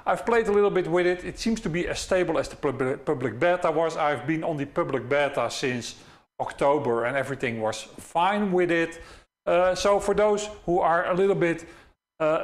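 Dutch man in his fifties, high voice. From Netherlands. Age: 50s. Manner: chatty, frequent "uh" fillers. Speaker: DJ educator hosting a live stream. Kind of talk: live stream talk to chat. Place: home studio room.

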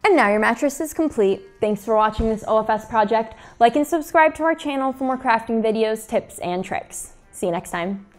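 A woman's voice: a little high, expressive, moderate pace, few pauses. A young woman hosting a craft tutorial, reading scripted step-by-step instructions. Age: young adult. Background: background music.

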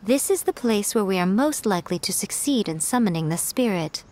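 A woman's voice: medium pitch, animated, a little fast, a little mellow, lightly acted. A woman voicing a sly, teasing shrine priestess who stays coolly amused. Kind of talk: video game voice acting. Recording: faint game music behind.

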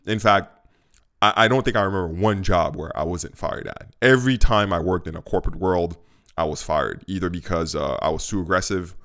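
A man's voice: sits low, a bit bright. A man in his thirties from Haiti, chatting casually and candidly to camera from his home kitchen into a studio mic, with lots of fillers. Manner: conversational and candid, with frequent fillers. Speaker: vlogger. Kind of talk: casual talking-head vlog. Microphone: studio mic on a stand in front of him.

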